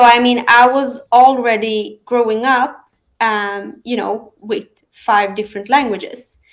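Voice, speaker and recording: A woman's voice: medium pitch, fairly bright tone. Woman, Aramean and Arabic speaker, interview guest, casual, hesitant fillers. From Sweden. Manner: thoughtful, many fillers and restarts. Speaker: real estate agent, guest.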